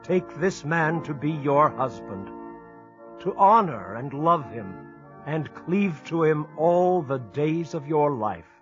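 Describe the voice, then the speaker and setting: A man playing a solemn minister officiating a period wedding in an audio drama, formal and deliberate. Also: fairly high pitch, animated, a bit bright, highs cut off, soft background music. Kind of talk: ceremonial audio drama acting.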